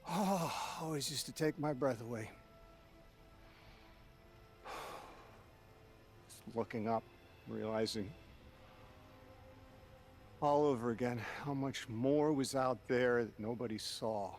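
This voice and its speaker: An actor voicing a bewildered man displaced centuries from his own time, halting and searching for words, in a video game cutscene. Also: mid-range voice, soft background music. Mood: wistful. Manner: anguished and halting when grieving, folksy and rambling when relaxed.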